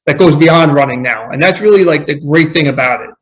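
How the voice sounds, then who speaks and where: A man with a slightly raised voice speaks earnestly about running as a podcast guest. He reflects as he goes, doubling back and restarting his sentences in relaxed conversation. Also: a little high, fairly quick, low-quality recording. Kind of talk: casual conversation.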